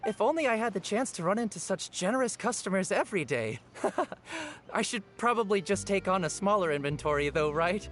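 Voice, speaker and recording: A man's voice: sits high, very animated, a little fast, a bit bright. A man voicing an eager, humble young newspaper seller in a video game, polite, apologetic and flustered. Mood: grateful, sheepish. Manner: polite, flustered, self-effacing. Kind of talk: video game character dialogue.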